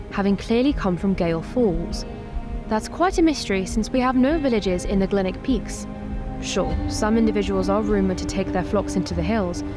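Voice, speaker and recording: A young empress, played by a woman in a tabletop game, speaks with formal courtesy and measured care. She is composed but carries a worried gravity. Music plays clearly behind her. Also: medium pitch, natural voice.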